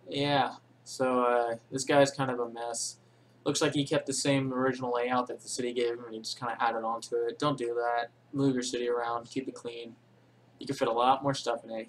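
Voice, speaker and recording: A man's voice: medium pitch, slight background noise, poor audio. A man casually narrating a screen-recorded game tutorial, rambling with many ums and self-corrections, in a voice he calls boring. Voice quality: says he has a boring voice. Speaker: game tutorial channel host.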